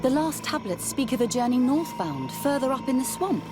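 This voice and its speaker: An earnest scholarly nun in a fantasy game. Her voice sits fairly high, with formal, emotional, expressive phrasing, and music plays clearly behind her. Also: normal speed. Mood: earnest, hopeful. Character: earnest, passionate religious sister and scholar. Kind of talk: dramatic video game acting.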